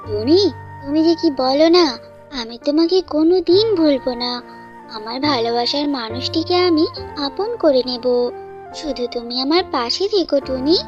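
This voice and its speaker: A woman's voice: sits high, normal speed, a little mellow, lo-fi sound, soft background music, lightly acted. A woman voicing a lovestruck young male bird in a cartoon, speaking earnestly and reassuringly. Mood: devoted. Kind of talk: cartoon fairytale dialogue.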